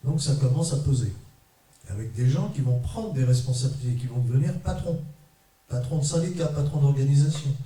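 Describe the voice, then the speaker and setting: A middle-aged trade unionist from France addresses an audience in a measured, emphatic way, his voice very dark and mellow. He is heard from a distance in a large hall with strong echo. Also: medium pitch. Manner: measured, emphatic, short repeated phrases. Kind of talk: conference talk to an audience. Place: large echoey parish hall. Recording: echoey hall, mic far off.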